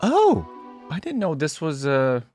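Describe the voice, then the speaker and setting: A man's voice: a little high, wildly swooping pitch, unhurried, darkish tone, faint music behind. A man hosting a reaction video, casually reading out and commenting, thinking aloud in short asides.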